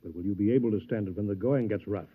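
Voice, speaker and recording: An actor playing a smug, taunting rival, a cool villain in a 1950s radio drama, sardonic and needling. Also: male voice, fairly low pitch, fast talker, breathy edge. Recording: tinny, noisy, clicky old radio recording.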